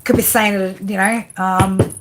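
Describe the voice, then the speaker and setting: A woman's voice: medium pitch, steady tone, measured pace, background noise. Aussie woman rambling through a tarot livestream. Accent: Australian. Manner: rambling, swears casually, many fillers. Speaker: tarot reader. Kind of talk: live-stream tarot reading.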